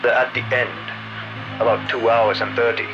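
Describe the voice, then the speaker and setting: An actor playing a terse warship captain in a sci-fi audio drama, speaking in clipped military phrases. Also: male voice, mid-range voice, steady tone, measured pace, muffled recording, noisy background, lightly acted. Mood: terse, focused. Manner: clipped, military, matter-of-fact. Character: terse male warship captain of a damaged ship, steady under pressure. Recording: loud music behind.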